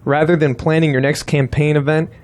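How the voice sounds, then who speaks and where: A man's voice: on the high side, little pitch movement, a little fast, a little mellow, natural voice. A man playing a blunt, persuasive political strategist in an audio drama, insistent and lecturing. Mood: pressing, blunt.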